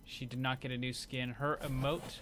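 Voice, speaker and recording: A man's voice: mid-range voice, little pitch movement, moderate pace, fairly bright tone. A man giving casual, unscripted commentary as host of a gaming video. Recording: clear background noise.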